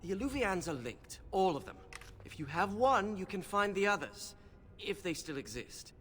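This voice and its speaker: Bookish young mage, high lively voice, fussy, hesitant, video game dialogue, long hall reverb. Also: male voice, moderate pace, a crowd in the background. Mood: matter-of-fact. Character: nervous bookish young male mage scholar.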